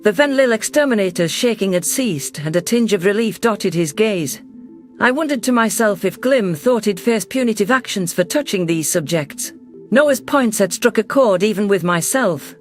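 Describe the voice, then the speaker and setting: A man narrating a science fiction story in the first person with steady storytelling delivery. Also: high voice, moderate pace, soft background music.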